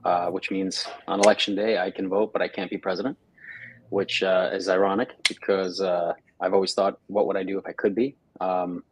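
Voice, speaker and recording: Low-voiced man, flat delivery. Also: a little hiss or hum, low-quality recording. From Russia. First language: Russian. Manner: halting, many fillers and restarts. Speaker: guest. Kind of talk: casual interview chat. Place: home studio, live stream.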